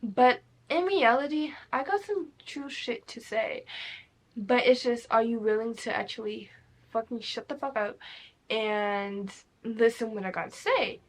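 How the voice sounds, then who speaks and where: A woman's voice: fairly high pitch, a little slow. A young woman hosting her own podcast, talking in a rambling, candid way and swearing freely. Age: young adult. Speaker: podcast host. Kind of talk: casual solo podcast talk.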